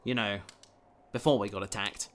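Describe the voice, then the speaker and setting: A man's voice: fairly low pitch, fairly even intonation, normal speed, a bit bright, natural voice. A man playing an earnest, determined human spacefarer in a science fiction audio drama, sincere and slightly awkward. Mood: patient, gentle.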